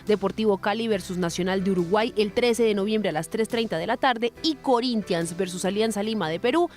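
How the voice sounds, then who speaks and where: A woman's voice: medium pitch, brisk, a little mellow, soft background music. A woman reading a scripted sports news report.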